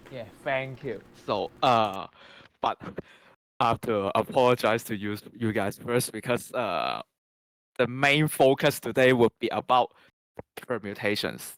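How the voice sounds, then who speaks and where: A man with a Hong Kong accent presenting a math lesson from slides, halting and full of restarts. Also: mid-range voice, lively intonation, fairly bright tone, no room sound, rough recording. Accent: Hong Kong English. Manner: halting, many restarts and fillers. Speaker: guest presenter.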